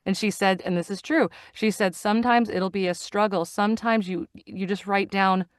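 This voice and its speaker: A woman's voice: medium pitch, steady tone, normal speed, no room sound, studio quality. A middle-aged woman, a voice actor and narrator, talking earnestly in a casual interview, often restarting and repeating phrases as she searches for words. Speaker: guest, voice actor and audiobook narrator. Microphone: studio microphone.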